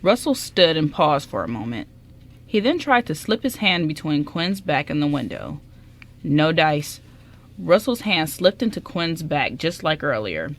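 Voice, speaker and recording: A woman's voice: deep voice, sing-song, a little slow. A woman reading scripted story narration as the narrator of a radio drama.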